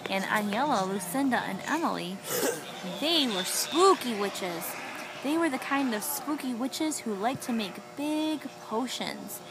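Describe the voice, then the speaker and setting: A woman improvising a children's story with a young child, animated and encouraging, often echoing and asking questions. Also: a little high, unhurried.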